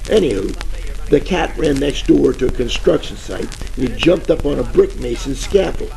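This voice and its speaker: An actor playing a bumbling, boastful private detective in a parody of 1940s radio drama, telling rambling stories in hammy slang. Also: male voice, medium pitch, expressive, moderate pace, gravelly, a little mellow, poor audio. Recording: old-time radio with music behind.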